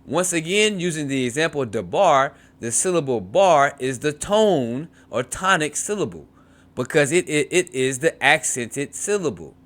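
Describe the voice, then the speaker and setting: Male teacher, lively pitch swings, reads a textbook then explains in his own words, repeats words to drill them. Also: unhurried. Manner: repeats words to model pronunciation, frequent restarts and asides. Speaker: Hebrew teacher. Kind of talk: reading a textbook aloud and explaining.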